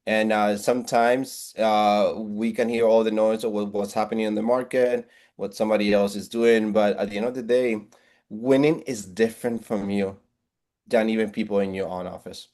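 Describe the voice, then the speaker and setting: A man in his forties with a Puerto Rican Spanish accent and a fairly low voice. He speaks earnestly and motivationally, restarting phrases as he goes. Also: flat delivery, moderate pace, a little mellow. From Puerto Rico. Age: 40s. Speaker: real estate agent guest. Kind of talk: interview on video call.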